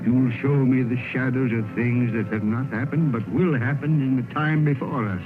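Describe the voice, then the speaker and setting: An actor playing a terrified, repentant old miser in an old radio play, with a quavering, pleading delivery. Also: male voice, medium pitch, fairly even intonation, normal speed, background noise, lightly acted. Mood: awed. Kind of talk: 1930s radio drama acting. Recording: tinny old radio broadcast with music.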